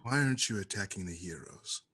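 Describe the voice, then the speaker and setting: A man voicing a menacing dark necromancer with a husky, halting, imperious delivery in a fantasy comedy table read. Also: lowish voice, animated.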